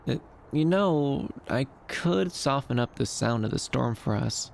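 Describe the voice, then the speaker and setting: A man playing a shy, gentle young dream fox companion, speaking softly and hesitantly in a soothing ASMR roleplay. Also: medium pitch, lively intonation, measured pace, gravelly, a little hiss or hum. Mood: bashful, tender. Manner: soft-spoken, bashful, hesitant, soothing. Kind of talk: ASMR roleplay, soft comforting monologue.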